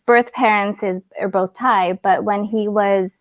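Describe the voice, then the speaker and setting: A woman's voice: medium pitch, fairly even intonation, a little slow, dry recording. A woman whose first language is Cantonese, chatting casually as a guest on a live video call, giggly and full of fillers. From Canada.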